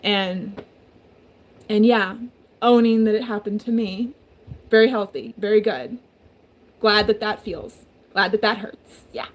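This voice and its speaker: Woman, slow, halting confessional vlogger; low, smoky, damaged voice with heavy vocal fry. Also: rough recording. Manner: halting, restarting, emotional. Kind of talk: confessional personal vlog.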